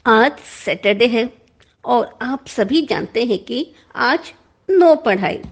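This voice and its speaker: A woman voicing a lively female primary school teacher, animated and encouraging, in a storybook reading. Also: fairly high pitch, takes it slowly, slightly rough, exaggerated voice. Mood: brisk, inviting. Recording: dull lo-fi recording.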